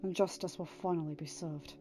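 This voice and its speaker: A woman reads the part of a heroic warrior husband in an audiobook. The tone is mellow and calm, with tender reassurance and earnest resolve. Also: lowish voice, brisk, soft background music, lightly acted. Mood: tender, resolute. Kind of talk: dramatised audiobook reading.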